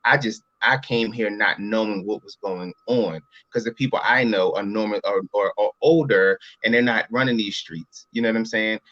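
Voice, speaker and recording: A man's voice: on the low side, moderate pace, hardly any pauses, fairly bright tone, dry recording. Late-30s man from Philly, casual interview guest, mid voice, rambling, dry muffled audio. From Philadelphia. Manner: rambling, repeats "you know what I'm saying". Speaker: interview guest, brand entrepreneur.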